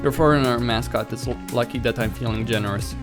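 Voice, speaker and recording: A smug rival pro gamer from a visual novel, voiced by a man reading along. He talks down to his opponent with a sneer and plenty of scorn. Music plays clearly underneath. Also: mid-range voice, fast talker, natural voice. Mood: scornful. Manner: sneering, condescending.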